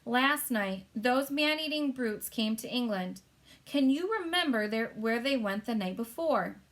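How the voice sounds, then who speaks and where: A woman's voice: on the high side, lively intonation, a little slow, a bit bright, voice pushed up. A woman voicing an imperious, testy queen with a formal, commanding manner in a storybook reading.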